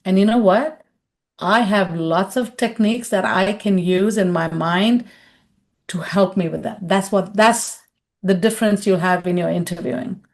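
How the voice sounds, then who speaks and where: A woman's voice: mid-range voice, a little slow. A middle-aged woman from India answering a question in a webinar, talking conversationally and acting out quoted voices over a video call. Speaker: webinar speaker and consultant.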